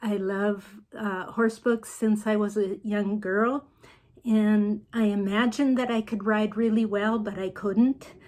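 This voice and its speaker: An older woman author speaking in an unhurried, storytelling manner in a casual video message. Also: medium pitch, steady tone, darkish tone.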